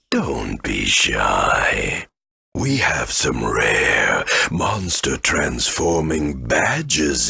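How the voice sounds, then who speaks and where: A male actor playing a welcoming, pushy shopkeeper in a video game, with a low, gravelly voice. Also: pushed and bright, exaggerated voice. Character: welcoming, pushy shopkeeper selling magic badges. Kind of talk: video game character acting.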